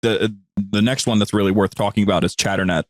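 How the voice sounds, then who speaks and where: A man's voice: lowish voice, steady tone, quick pace, no echo, studio quality. A man speaking as a podcast guest and show producer, telling anecdotes in a casual, rambling, informal way. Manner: rambling, informal, conversational storytelling.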